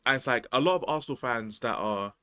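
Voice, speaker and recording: A man's voice: medium pitch, fast, pushed and bright, clean tone, dry recording. A man, chatting casually about football as a podcast host, slangy and rambling. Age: 20s.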